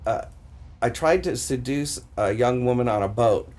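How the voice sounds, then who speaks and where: A woman's voice: rumbling low, normal speed. A woman chatting casually as a podcast guest, joking and exuberant. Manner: jokey, exuberant, animated. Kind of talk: casual chat. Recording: noisy room recording.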